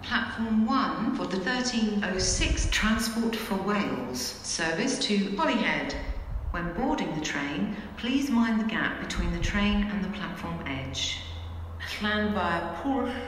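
A woman's voice: medium pitch, animated, slow, a bit bright. A woman reads formal automated railway station announcements in clipped, pieced-together phrases over a public-address system. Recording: echoing station PA, noisy, long reverb.

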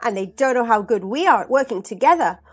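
Woman as an earnest, high-voiced ant captain. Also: animated, fast, husky, soft background music. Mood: reassuring. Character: alarmed but loyal ant captain guarding the queen.

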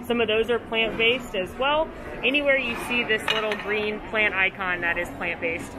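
A woman's voice: mid-range voice, fairly even intonation, normal speed, over music, low-quality recording. A young woman with an upbeat, gushing, chatty manner, giving a casual food review as a vlogger. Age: young adult. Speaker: food and theme park vlogger. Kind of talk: enthusiastic casual vlog review and food tour. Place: large buffet restaurant, indoors. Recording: busy restaurant crowd noise. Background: crowd chatter.

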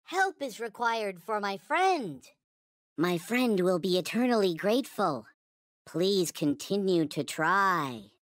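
A man voicing a small, squeaky, childlike toy alien in a video game, earnest and worshipful, with stilted, formal phrasing. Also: very animated, slow, fairly bright tone, no echo, clean studio sound, exaggerated voice. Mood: earnest, awed. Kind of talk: video game character voice lines.

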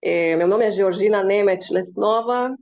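Young woman, Hungarian-Cuban accent, academic guest, halting speech with fillers, dull webcam audio. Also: mid-range voice, a little mellow. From Cuba. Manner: hesitant, many filler sounds. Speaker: professor and researcher, interview guest. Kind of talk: interview answer. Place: room with curtains indoors. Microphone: webcam on video call.

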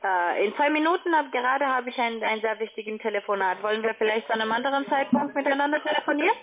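A woman's voice: a little high, fast talker, a little hiss or hum. A woman, a German speaker, talking formally as a financial adviser on a sales call over the telephone. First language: not German. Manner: formal, non-native phrasing. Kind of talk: phone sales conversation. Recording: tinny narrowband phone line.

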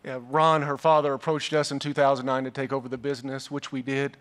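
A man's voice: medium pitch, level tone, fast talker, a bit bright, smooth. A man from Chicago, a business co-owner, speaking formally and respectfully at a panel table in a large hall. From Chicago, raised near Lexington, Kentucky. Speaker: business co-owner, Marine veteran. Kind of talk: formal remarks at a roundtable.

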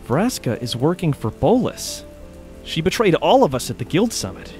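A man's voice: a little high, very animated, moderate pace, a little mellow, faint music behind. A man playing a weary, determined mage in a fantasy audio drama. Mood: grave, firm.

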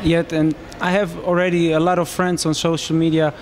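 A man in his thirties from Romania, speaking English, answering interview questions into a handheld mic in a small room, with halting phrasing and frequent restarts. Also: a little high, little pitch movement, slight background noise. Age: 30s. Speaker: marathon runner interviewee.

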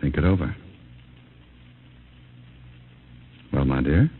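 An actor playing a cold, scheming government official in an old-time radio drama, speaking in a formal, measured, officious way. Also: male voice, rumbling low, husky, heavily acted. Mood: coaxing. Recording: muffled, narrow-band vintage radio recording.

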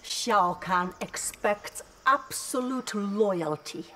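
A woman's voice: mid-range voice, lively intonation, pushed and bright, full character voice, low-quality recording. A woman playing a cold, loyal assassin in a video game, speaking with cool menace. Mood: cold, offended. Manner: cool, threatening, deliberate. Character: cold, loyal female assassin serving an emperor. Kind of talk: video game character acting.

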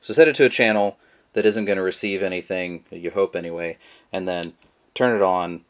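A man's voice: deep voice, a little fast. A man giving a casual, rambling how-to demonstration of a product, full of fillers, his voice picked up by the camera mic at a table indoors. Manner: relaxed, rambling with many fillers. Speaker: product reviewer.

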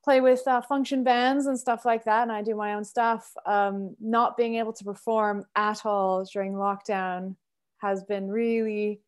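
A woman from Canada in her thirties tells her story on a video call from home. She talks expressively in a middle pitch, as a musician guest on an interview show. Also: flat delivery, measured pace, smooth. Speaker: singer and sax player, guest. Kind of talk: casual interview chat. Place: home room, indoors.

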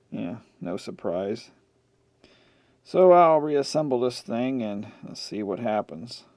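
A man's voice: mid-range voice, no echo. A man giving offhand, casual repair commentary, half talking to himself as he works with his hands. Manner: offhand, muttering to himself while working. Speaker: host of an electronics repair channel. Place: indoor workbench. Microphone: camcorder mic near the workbench. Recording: steady background noise, clicks of handling.

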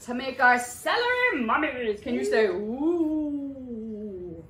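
A woman hosts a kids' cooking class in a high, very lively voice. She talks playfully to a toddler in a sing-song way, recorded in an echoey room. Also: slow. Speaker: preschool teacher hosting a children's cooking class. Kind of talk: child-directed cooking demonstration. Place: small indoor playroom kitchen set. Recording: echoey room with some background noise.

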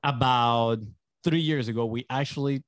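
A young man from Venezuela whose first language is Spanish chats casually on a podcast. His pitch sits in the middle for a man. He is close on the microphone, and the sound is dry, with hardly any room on it. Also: moderate pace, dry recording. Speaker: podcast guest, agency entrepreneur. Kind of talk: casual conversational storytelling. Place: small home studio indoors. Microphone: close boom-arm podcast microphone with headphones.

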